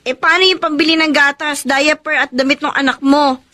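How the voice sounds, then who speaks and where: An actress playing a blunt, protective younger sister in a radio drama, chiding and expressive. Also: female voice, sits high, moderate pace. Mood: exasperated, demanding. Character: outspoken, protective younger sister of a village family.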